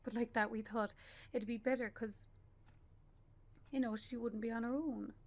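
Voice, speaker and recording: An actress with an Irish accent playing a grieving young mother in a radio play, speaking haltingly in a naturalistic style. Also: fairly high pitch, fairly even intonation. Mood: sorrowful reminiscing. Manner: halting, with pauses and repeated phrases. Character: grieving young bereaved mother, anguished and stubborn.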